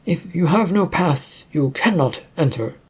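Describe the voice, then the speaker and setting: A man playing a stern, unwelcoming gate guard in a fantasy story, formal and curt, with a rough voice. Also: on the high side, expressive, a little slow, pitched above the performer's own voice, full character voice. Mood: stern refusal.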